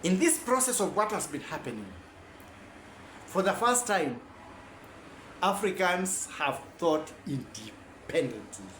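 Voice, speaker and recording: Animated man lecturing. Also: high voice, unhurried, pauses often, roomy sound, a little hiss or hum. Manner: rambling, animated, self-correcting. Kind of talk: informal lecture.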